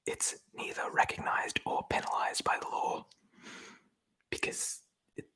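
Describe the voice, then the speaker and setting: Someone whispering in a breathy voice, reading aloud from a book in an ASMR style with chatty, informal commentary. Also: a little slow, sharp tone. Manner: chatty, informal, swears casually. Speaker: presenter reading aloud from a tabletop RPG book.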